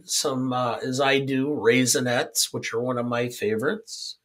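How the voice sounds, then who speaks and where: Older Midwestern man, co-host, hoarse from a cold, rambling chat. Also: on the high side, fairly bright tone. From upper Midwest of the United States. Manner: rambling, with hesitant fillers. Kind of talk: casual podcast chat.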